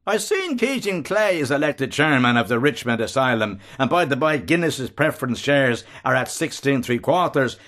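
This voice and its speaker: A man's voice: mid-range voice, big pitch swings, moderate pace, hardly any pauses, a bit bright, pitched above the performer's own voice. A man voicing a brutal, blustering male brothel keeper who talks in a coarse, bullying, gloating way.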